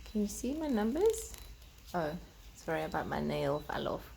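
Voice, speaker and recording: A woman's voice: low voice, sing-song, normal speed, vocal fry, darkish tone, a little hiss or hum, low-quality recording. A woman hosting a children's storytime, reading aloud and talking directly to young listeners in simple, explanatory language. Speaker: children's storytime host and reader.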